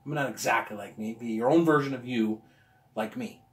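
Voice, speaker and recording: A man's voice: medium pitch, brisk. Enthusiastic man in his forties, casual reviewer, recorded in a roomy, echoey space. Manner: enthusiastic, chatty, talks with his hands. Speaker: beer reviewer. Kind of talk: casual beer review vlog. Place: room with walls of beer bottles, indoors.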